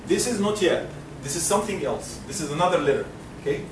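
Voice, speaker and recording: A man from Egypt leads a classroom lesson, drilling sounds slowly so students can echo them. His voice sits a little high. He is recorded from a distance in a reverberant, noisy room. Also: lively intonation. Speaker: Quran recitation teacher. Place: lecture classroom. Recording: roomy classroom, mic far off, noisy.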